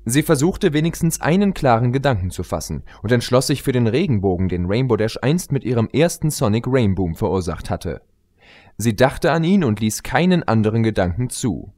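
Male story narrator, medium pitch, very varied, expressive intonation. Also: moderate pace. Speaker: audiobook narrator.

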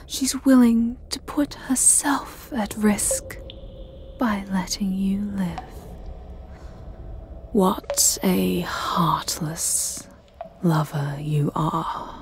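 Slow, whispering sinister doll maid played by a woman. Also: medium pitch, slight background noise. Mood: cold menace. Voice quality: breathy, whispery. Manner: slow, menacing, theatrical, savoring phrases. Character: sinister living porcelain doll maid, jealous, centuries old, obsessive. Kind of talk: dramatic ASMR roleplay monologue. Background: music.

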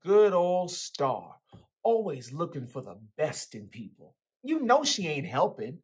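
A man's voice: on the high side, big pitch swings, a little slow, darkish tone, husky, exaggerated voice. A young man with an American accent playing a guarded, streetwise teenage boy in a dramatic book reading. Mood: guarded, offhand. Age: young adult. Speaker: actor reading a character's dialogue. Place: indoors in a small plain room. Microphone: video call, close to computer.

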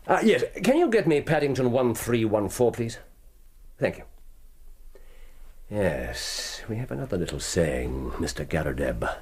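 An actor playing a sharp, cool male detective in a radio drama, speaking in a dry, clipped, sardonic way. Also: on the low side, very animated, a little slow, long pauses, slightly rough, heavily acted. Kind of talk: BBC radio drama acting.

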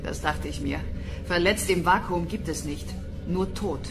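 A woman's voice: lowish voice, measured pace, noisy background. A woman playing a cold, stern military lieutenant in a sci-fi audio drama, speaking in curt commands.

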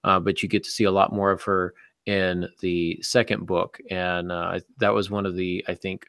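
A middle-aged man hosting a casual discussion about writing, explaining things in a measured way. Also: deep voice, little pitch movement, vocal fry, a bit bright, no room sound. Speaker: podcast host and novelist. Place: indoor office, on a video call.

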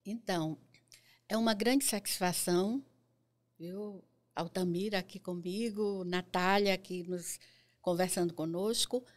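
A woman's voice: lowish voice, unhurried. An older woman with a Brazilian accent, answering conversationally as a guest on a studio podcast. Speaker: podcast guest, nurse and health council member. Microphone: studio microphone on boom arm.

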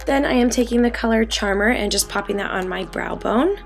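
A young woman in her twenties, raspy from a cold, chatting through a makeup tutorial in a bedroom, full of ums and likes. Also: medium pitch, a little fast, over loud music. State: getting over a cold. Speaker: beauty vlogger. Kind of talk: casual makeup tutorial talk. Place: bedroom, indoors.

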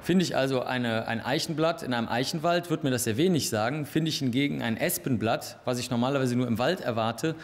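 A man's voice: mid-range voice, a little fast, hardly any pauses, long reverb tail. A young man being interviewed as a forensic expert, explaining methodically in a laboratory.

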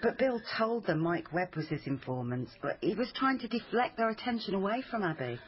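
A level-headed, persistent female police officer, played by an actress in a radio crime drama. She is plain-spoken at a middling pitch. The recording is a little dull with faint noise. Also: expressive, brisk, close to an everyday voice. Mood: matter-of-fact. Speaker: actor.

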